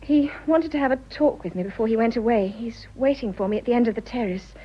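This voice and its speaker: An actress playing an unhappy, conscience-stricken young wife with an upper-class English accent in an earnest, emotionally fraught radio drama style. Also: female voice, a little high, quick pace, slightly rough. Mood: anxious, unhappy. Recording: muffled, dull radio drama recording.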